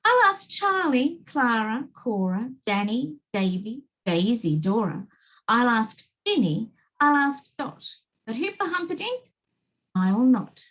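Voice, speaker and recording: A woman's voice: fairly high pitch, sing-song, takes it slowly, a bit bright, full character voice, low-quality recording. A woman voicing an eager little boy reeling off the kids he will invite, in a rhyming children's book. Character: little boy planning a party.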